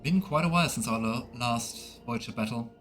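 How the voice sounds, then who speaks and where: A man's voice: mid-range voice, normal speed, fairly bright tone. Casual male game streamer with music behind. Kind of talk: casual let's play commentary.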